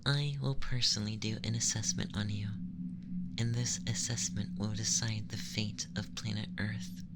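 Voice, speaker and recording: Over clear background noise comes a haughty femboy alien abductor, acted by a man, in a soft ASMR roleplay. The delivery is level and crackly, slipping into breathy whispers. The alien sounds clinical, formal and condescending, assessing humans in measured phrases. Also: fairly low pitch.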